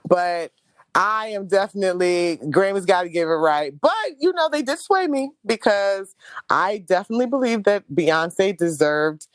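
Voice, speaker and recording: A woman's voice: medium pitch, normal speed, dry recording. A woman from Houston with a Houston accent, co-hosting a podcast in casual, candid chat. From Houston, Texas. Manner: animated, candid, laughs often. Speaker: podcast co-host.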